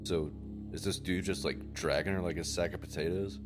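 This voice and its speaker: A man with a deep voice chats as a player in a tabletop game, casually laying out his character's actions. Music plays clearly behind him. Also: fairly even intonation, a little fast.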